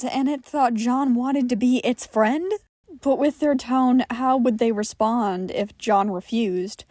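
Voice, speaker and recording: Woman reading a story out loud, audiobook style, highish voice, super dry recording. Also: no echo. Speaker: podfic narrator. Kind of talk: scripted fiction narration.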